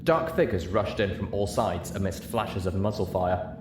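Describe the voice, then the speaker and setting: A narrator reading a story aloud in an expressive, scripted style. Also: sits low, moderate pace, music in the background. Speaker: audiobook narrator. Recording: roomy echo, clearly noisy background.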